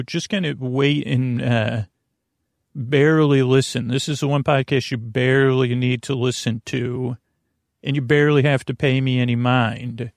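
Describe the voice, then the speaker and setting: Creaky-voiced man rambling gently. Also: medium pitch, no room sound, clean studio sound. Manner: meandering, halting, trailing off and restarting mid-phrase. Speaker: podcast host. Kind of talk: rambling sleep podcast monologue.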